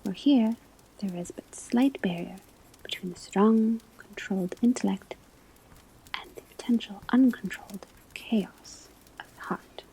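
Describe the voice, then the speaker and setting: A woman playing a calm, scholarly professor at a witch school, whispering a lecture in a measured, deliberate ASMR roleplay. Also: medium pitch, slight background noise, natural voice, rough recording. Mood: calm, instructive. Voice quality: breathy, soft whisper. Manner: measured, deliberate phrasing with frequent pauses. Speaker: ASMR performer playing a teacher.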